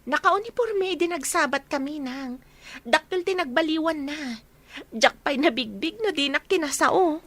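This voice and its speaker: A woman's voice: high voice, animated, moderate pace, a bit bright, no room sound. A woman playing a chatty, teasing young girl in radio drama dialogue. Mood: excited, chatty.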